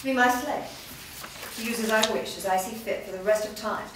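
A woman playing a ruthless female vampire master in a staged reading, speaking in a slow, threatening, deliberate way. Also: on the high side, noisy background, natural voice. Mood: menacing. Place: large studio room with actors in a circle. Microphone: far camera. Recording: roomy echo from far off.

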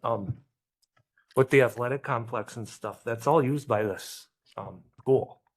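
A man asking blunt, halting questions as a board member in a meeting. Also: medium pitch.

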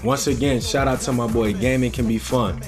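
A man's voice: medium pitch, steady tone, fairly quick. A man in his twenties with an American accent, giving casual, slangy gaming commentary as a YouTube host. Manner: slangy, repetitive hype. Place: indoor gaming room. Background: music and game audio.

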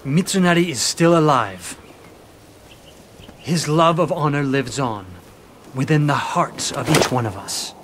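An actor playing a noble, earnest samurai strategist with a declamatory manner in a video game. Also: male voice, fairly high pitch, lively intonation, a little slow, long pauses, noisy background. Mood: resolute. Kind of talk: dramatic video game acting. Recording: music under the voice.

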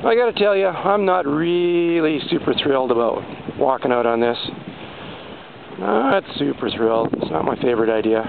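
A man's voice: a little high, expressive, moderate pace, vocal fry. A man giving casual, rambling first-person vlog narration outdoors, hesitant and understated in manner. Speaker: amateur explorer filming a vlog. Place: outdoors on an exposed seaside catwalk. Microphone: handheld camera microphone. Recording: tinny handheld camera mic, heavy wind noise. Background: loud wind.

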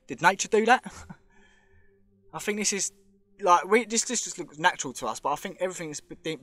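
A man's voice: a little high, pushed and bright, no room sound. A man giving rambling, speculative commentary while filming with a handheld camera in an underground cave. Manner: chatty, rambling, speculative, points things out. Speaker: vlogger exploring a show cave.

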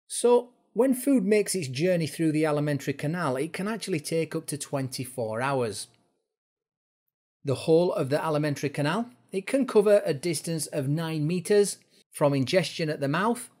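A man with a fairly high-set voice presents a lesson as a course instructor. He explains things informally and step by step, in the style of an educational narration. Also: a little mellow.

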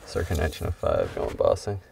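A man calmly narrating a bottle dig outdoors, reading out each find in a matter-of-fact way. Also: very deep voice, quick pace, creaky, distant mic, background noise. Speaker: bottle digger. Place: outdoors at an excavation pit.

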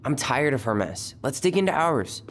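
A man's voice: mid-range voice, very animated, normal speed, a little hiss or hum. A man playing a guarded young twin brother with dry humor and a casual, slightly impatient manner in a video game. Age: young adult. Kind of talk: naturalistic video game dialogue acting.

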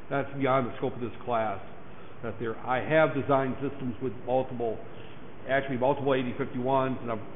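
A hoarse man lecturing a class, rambling and repeating "right there" and "that there". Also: mid-range voice. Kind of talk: recorded classroom lecture over slides. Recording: echoey lecture hall, tinny lo-fi mic with faint noise.

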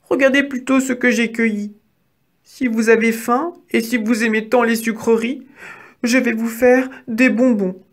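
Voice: mid-range voice, steady tone, a little slow, voice pushed up, natural voice. A storyteller voicing a timid young female mouse, polite and pleading, who stammers when frightened.

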